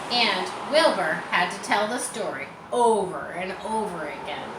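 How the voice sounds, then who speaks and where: A woman reading a children's story aloud in an expressive, informal way, as a storybook narrator. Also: mid-range voice. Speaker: storybook reader. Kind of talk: children's read-aloud with casual asides. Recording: roomy echo, faint background noise.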